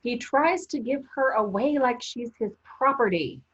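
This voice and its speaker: A woman playing a blunt, opinionated actress in a traveling troupe, husky-voiced, in a staged play reading. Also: fairly high pitch, expressive, measured pace, fairly bright tone, dull audio, heavily acted.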